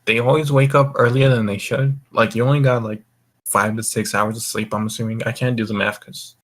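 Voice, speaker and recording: A man's voice: mid-range voice, steady tone, fairly quick, fairly bright tone. A drunk young man hosting a casual group chat, rambling and swearing as he goes. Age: young adult. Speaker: voice call host.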